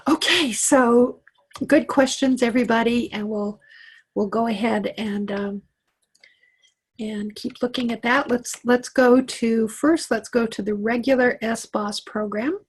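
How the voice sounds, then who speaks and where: Chatty woman with a very husky voice. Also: a little high, measured pace. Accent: Western American with a slight Southern tinge. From Wyoming, USA. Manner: chatty and reassuring, many fillers and restarts. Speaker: computer coach. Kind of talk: live online tech class. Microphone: computer mic on a video call.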